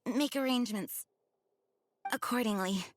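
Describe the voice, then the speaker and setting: A woman's voice: fairly high pitch, slow, a little hiss or hum. A woman playing an overworked young knight commander in an anime game, speaking in a formal, composed and polite way. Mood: weary, polite. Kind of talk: anime video game voice acting.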